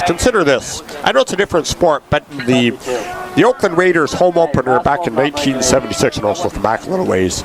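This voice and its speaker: Congested man calling baseball play-by-play. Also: fairly high pitch. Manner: chatty, digressive with sports history asides. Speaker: play-by-play announcer.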